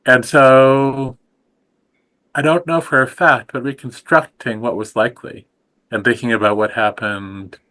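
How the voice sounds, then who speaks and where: Soft-spoken contemplative man, bright voice. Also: mid-range voice, steady tone, poor audio. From United States. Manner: slow, soft and contemplative, trailing off quietly. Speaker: guest teacher. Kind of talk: reflective conversation.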